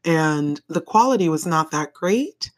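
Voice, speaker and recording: A woman with a deep, raspy voice hosting her own podcast, talking casually, laughing often and swearing freely. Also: lively intonation, unhurried. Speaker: podcast host. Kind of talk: casual solo podcast monologue. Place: home bedroom. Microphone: microphone on a stand, held in hand.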